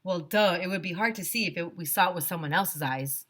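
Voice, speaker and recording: A woman teacher reading a children's storybook aloud in an expressive narrating style. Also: lowish voice, brisk.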